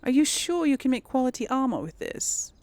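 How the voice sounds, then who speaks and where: A woman's voice: sits high, big pitch swings, a little fast, darkish tone. A woman hosting a casual gaming video, chatting and thinking aloud as she role-plays. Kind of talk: casual role-play game commentary.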